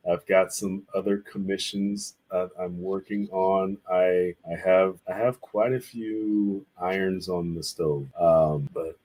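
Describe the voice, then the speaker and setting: A man's voice: low voice, fairly even intonation, slow, hardly any pauses, a little mellow, poor audio. A man speaking as a guest artist in a casual interview, telling stories in an informal, reflective way. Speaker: guest, visual artist and illustrator.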